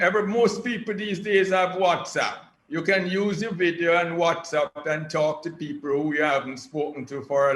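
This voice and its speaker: Bright, higher-voiced Jamaican man in echoey room. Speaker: doctor, guest expert. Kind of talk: spoken public health advice. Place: press event in a room. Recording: echoey room with faint noise.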